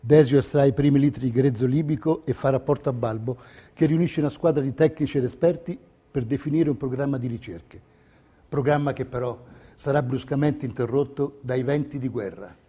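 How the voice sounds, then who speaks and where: A man delivering scripted theatrical narration in measured, deliberate phrases. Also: mid-range voice, warm tone, slightly rough. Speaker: stage narrator.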